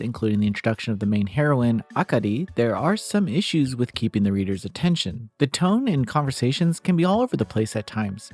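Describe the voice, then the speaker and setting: A man's voice: mid-range voice, darkish tone. A man narrating a scripted game review in a casual manner, with wry asides.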